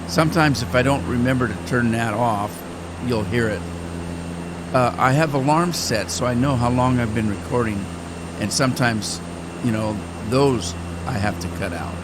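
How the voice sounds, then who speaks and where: An older man talking casually while playing a game, rambling with pauses and restarts. He says his voice has grown deeper and cracks more with age. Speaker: gaming channel host. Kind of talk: casual gameplay commentary. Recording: engine noise behind the voice. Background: flight simulator engine noise.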